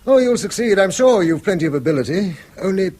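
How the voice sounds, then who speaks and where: A man's voice: high-pitched, lively intonation, fast talker, darkish tone. A man acting a weary, bitter middle-aged schoolmaster and father in a British radio drama, speaking eloquently and self-reproachfully in a British accent. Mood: resigned, wry. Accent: British English. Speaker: actor.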